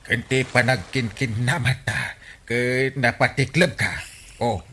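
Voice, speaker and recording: A man's voice: mid-range voice, slow pace, slight background noise. A man with a Filipino accent playing a playful, sentimental village captain and husband in a radio comedy drama. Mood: nostalgic, playful. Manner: exclaiming, chuckling, excitable.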